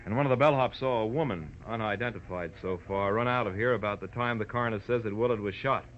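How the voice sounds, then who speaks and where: A man's voice: fairly low pitch, fast, natural voice. A man playing a world-weary American police detective in an old radio crime drama, dry, matter-of-fact and needling. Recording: dull, faintly noisy old radio recording.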